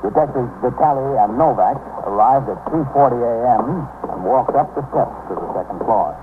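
An actor playing a methodical American police captain, narrating a 1950s radio drama in a measured, official way. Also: male voice, mid-range voice, expressive, noisy background, close to an everyday voice. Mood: sober, factual. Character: sober, methodical male police precinct captain. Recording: tinny, humming 1950s radio recording.

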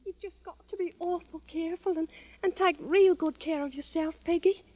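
Worried sweet young girl, really high voice, dry muffled old radio drama. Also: moderate pace, no echo. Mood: anxious, tender. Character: tender, anxious young sweetheart girl of thirteen. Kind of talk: 1940s-50s radio drama acting. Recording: dull old radio recording.

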